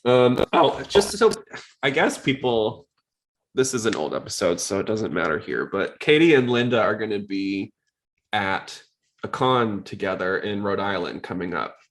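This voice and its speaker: A man's voice: lowish voice, very animated, moderate pace. A man hosting a podcast in a casual, inquisitive, conversational way. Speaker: podcast host.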